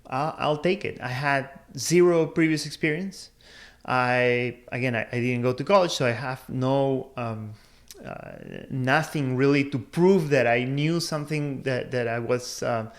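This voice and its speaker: A man in his thirties, raised in Peru, shares his career story to camera in a relaxed, personal way. His voice sits in an ordinary middle range. He pauses often, restarts phrases and leans on fillers while he thinks aloud. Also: mid-range voice. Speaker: career advice video host. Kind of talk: casual personal talk to camera.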